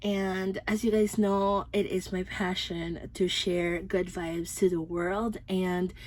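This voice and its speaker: A woman's voice: on the low side, little pitch movement, slow. A young woman from the Philippines with a Filipino accent, chatting upbeat through a fragrance review with many fillers. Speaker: beauty vlogger. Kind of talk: casual product review.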